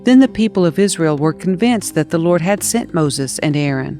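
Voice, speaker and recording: Female audio Bible narrator, measured and expressive dramatized reading, music bed underneath. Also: deep voice.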